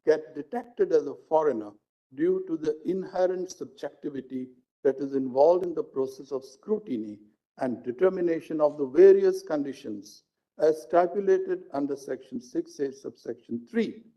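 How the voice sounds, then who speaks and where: A man with an Indian accent, a judge reading out a legal judgment in formal, deliberate phrasing. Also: on the high side, mellow. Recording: dull lo-fi live stream audio.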